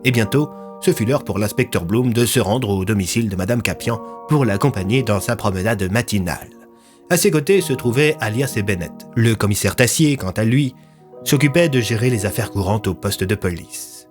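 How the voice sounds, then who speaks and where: A man's voice: lowish voice, moderate pace, faint music behind. A man narrating a scripted audio fiction as its storyteller.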